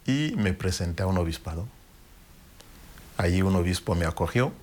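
A man with a very low, deep voice tells his life story in an interview. He speaks in a reflective, unhurried way, pausing and restarting as he searches for words. Spanish is not his tongue. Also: animated, a little mellow. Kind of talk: personal testimony in an interview.

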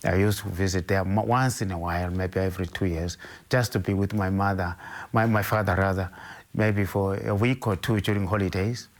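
Deep-voiced older Zimbabwean man, measured. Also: fairly even intonation. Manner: measured, hesitant, with frequent restarts. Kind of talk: interview, recounting his life.

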